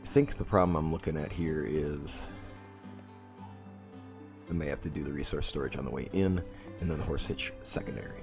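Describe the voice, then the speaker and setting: A man's voice: very deep voice, moderate pace, long pauses, music in the background. A man with a stuffy nose from a cold, hosting a casual game playthrough and thinking aloud as he reworks his plans. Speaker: let's play host. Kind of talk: casual gameplay commentary.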